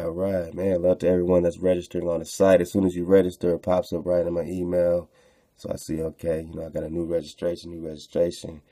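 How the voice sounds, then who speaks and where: A man's voice: very deep voice, warm tone. A man casually hosting an unscripted online show, rambling, repeating phrases and using slangy filler. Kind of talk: casual unscripted commentary while browsing on screen.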